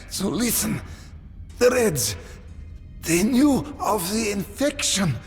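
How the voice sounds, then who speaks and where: Desperate dying game survivor, strikingly high strained voice, very slow halting delivery, reverb. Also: male voice, full character voice. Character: desperate, dying male tunnel dweller.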